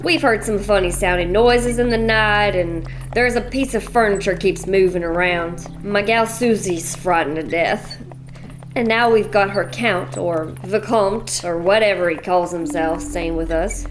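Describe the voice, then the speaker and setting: A woman's voice: medium pitch, a little slow, slight background noise, close to an everyday voice. A woman voicing a bossy, blunt, middle-aged widow from a cattle ranch, speaking. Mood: bossy, folksy. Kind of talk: radio play acting.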